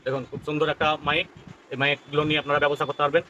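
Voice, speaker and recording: Man, quick speech, shopkeeper demo, explanatory, some traffic noise behind. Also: fairly high pitch, level tone, bright, forceful tone, muffled recording. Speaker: shopkeeper presenting products on own channel. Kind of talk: informal product demonstration, sales pitch.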